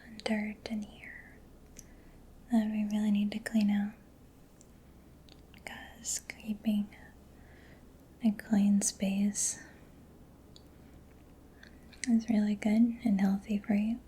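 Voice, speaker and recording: A woman speaking in a soft, breathy whisper, gentle and soothing, in a close-miked ASMR personal attention roleplay as a mechanic. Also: medium pitch, monotone, slow, long pauses, a little hiss or hum. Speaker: ASMR roleplayer playing a robot repair mechanic. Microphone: close microphone.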